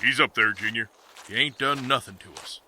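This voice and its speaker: A man acts a gruff, folksy hillbilly father in a comic western radio play. His Southern voice is very bright and tense at a middle pitch. Faint noise sits behind him. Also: fairly quick. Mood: scolding. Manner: blunt, folksy. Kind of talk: comedic western audio drama.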